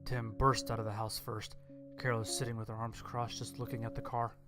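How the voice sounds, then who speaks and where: A man's voice: mid-range voice, little pitch movement, fairly quick. A man reading a scripted horror story as its narrator. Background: instrumental music.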